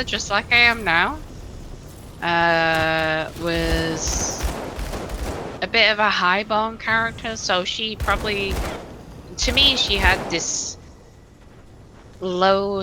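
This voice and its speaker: A woman's voice: on the low side, background noise. A woman streamer chatting casually while gaming, rambling with many fillers and restarts into a desk microphone.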